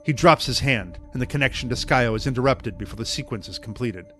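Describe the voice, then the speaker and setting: A man's voice: mid-range voice, a little fast, a bit bright. Male narrator, dramatic scripted storytelling for audio drama, light music underneath.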